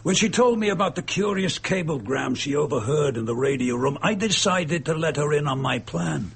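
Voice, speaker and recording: A man acting in a radio drama as a gloating, bitter murderer and impostor, with a husky, gravelly voice and a sneering, darkly amused manner. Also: a little high, lively intonation, moderate pace, a little hiss or hum. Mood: bitter, cold.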